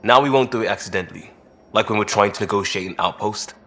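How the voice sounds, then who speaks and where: An actor playing a good-natured young crewmate in a space video game, talking informally and earnestly. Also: male voice, lowish voice, fast, a bit bright. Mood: explaining.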